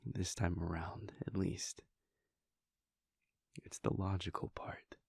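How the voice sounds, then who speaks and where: A man voicing a devoted adult vampire prince in an audio roleplay, speaking in a hushed, halting, intimate way close to the microphone. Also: low-pitched, flat delivery, vocal fry, breathy edge, no room sound, in a whisper, full character voice. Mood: reflective. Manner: hushed, halting and intimate, with soft sighs and pauses. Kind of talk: audio roleplay aimed at the listener.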